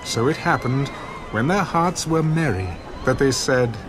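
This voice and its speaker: A man's voice: medium pitch, a little slow, a crowd in the background, background noise. A man narrating scripture as a scripted, dramatic audio production. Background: music.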